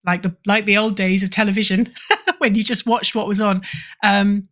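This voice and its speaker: A woman's voice: medium pitch, fairly even intonation, normal speed, a bit bright. A middle-aged woman with a British accent, chatting casually as an interview guest and often rephrasing mid-sentence. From United Kingdom. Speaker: podcast guest, fiction editor.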